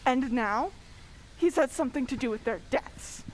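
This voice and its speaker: Woman as jumpy scared helper guy in an old radio play, high voice, bit of background noise. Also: normal speed, lightly acted, poor audio. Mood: nervous, uneasy. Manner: nervous, excitable. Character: jittery, frightened male hall helper. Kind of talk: old-time radio drama acting.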